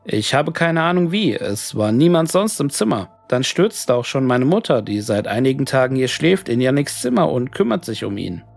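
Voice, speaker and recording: Gravelly-voiced male horror narrator. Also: medium pitch, very animated, moderate pace, hardly any pauses. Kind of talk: scripted narration of an email horror story. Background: music.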